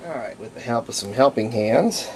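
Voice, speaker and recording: A man giving a casual, unscripted hands-on demonstration as a hobbyist restorer, thinking aloud in an unhurried way. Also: mid-range voice, animated, darkish tone, slight background noise, rough recording. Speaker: hobbyist restorer of antique radios, presenter.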